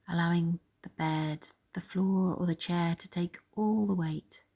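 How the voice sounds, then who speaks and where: A woman leading a guided body scan meditation in a soft, gentle, soothing way, pausing between phrases. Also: lowish voice, fairly even intonation, breathy edge.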